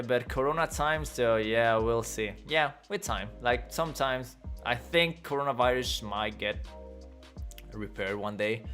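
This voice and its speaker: A man's voice: lowish voice, fairly bright tone. A young man hosting a casual livestream, rambling loosely, laughing often and swearing as he answers chat. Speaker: livestream host reading chat.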